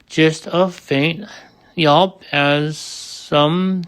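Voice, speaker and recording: A man reading a children's story aloud as expressive audiobook narration. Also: fairly high pitch, drawn-out words, clean tone. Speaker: storybook reader.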